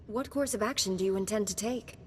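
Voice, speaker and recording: A woman's voice: medium pitch, fairly quick, a little hiss or hum, natural voice. Composed heroine played by a woman in echoing hall. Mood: earnest, resolute. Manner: earnest, composed. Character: earnest, composed heroic female Jedi knight. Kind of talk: video game cutscene acting.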